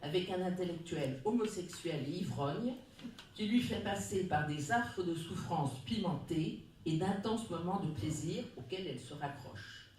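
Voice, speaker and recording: A speaker at a round table, reading a prepared talk in a measured, formal way. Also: mid-range voice, animated, darkish tone, slight background noise. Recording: very echoey room, distant mic.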